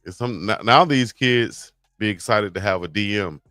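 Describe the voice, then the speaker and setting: A man's voice: lowish voice, moderate pace, bright, forceful tone, dry recording, studio quality. A middle-aged man co-hosting a casual sports chat, telling stories in a laid-back way with plenty of fillers. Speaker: co-host. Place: Hawaii, at home on a live stream.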